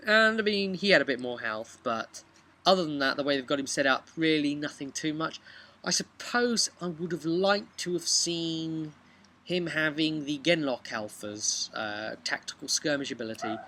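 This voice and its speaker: A young man telling a casual, halting recap of a tabletop game to camera as the game master, speaking outdoors. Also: medium pitch, bright, forceful tone. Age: young adult. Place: outdoors on a garden bench by a brick house.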